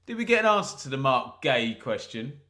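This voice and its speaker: A man's voice: mid-range voice, sing-song, a little fast, bright, forceful tone. A man hosting a live football watch-along, commentating on the play and chatting to viewers with jokes and asides. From England. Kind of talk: live match commentary and chat.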